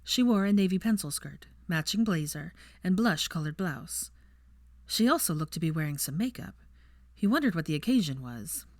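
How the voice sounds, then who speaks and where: A woman's voice: fairly low pitch, animated, normal speed, dry recording, studio quality. A woman reading fiction aloud as scripted audiobook-style narration.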